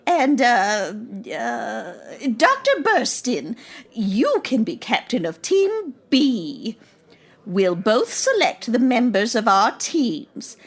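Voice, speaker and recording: A woman playing a bubbly, bossy older grandmother hosting a party, husky and gushing, in old-time radio comedy style. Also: on the high side, wildly swooping pitch, slow, exaggerated voice. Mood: genteel, chatty. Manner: gushing, chatty.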